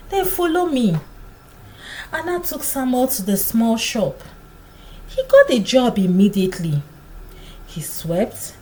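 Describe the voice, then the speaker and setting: A woman narrating a scripted folktale in a dramatic, deliberate storytelling manner. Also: on the high side, a little mellow, a little hiss or hum, rough recording.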